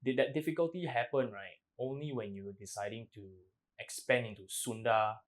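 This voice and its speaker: A man hosting a podcast in casual chat, excitable and quick to swear in surprise. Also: mid-range voice. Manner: excitable, swears often, loud exclamations. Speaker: podcast host.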